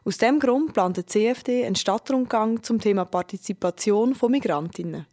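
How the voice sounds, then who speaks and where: A female radio reporter, reading a scripted news feature in a measured, even way. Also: fairly high pitch.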